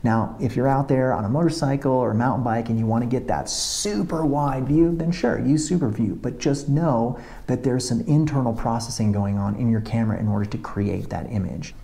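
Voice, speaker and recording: Gravelly man explaining camera settings. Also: medium pitch, a little fast, few pauses, dark tone. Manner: explanatory, methodical, walks through settings step by step. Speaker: motovlogger. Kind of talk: technical tutorial talk to camera. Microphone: camera on a tripod.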